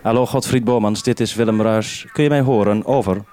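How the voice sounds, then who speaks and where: A man's voice: on the low side, steady tone, a little fast, mellow. A man working as a radio reporter, speaking in a live link-up on an old broadcast recording. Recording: old radio recording with faint noise, clicks.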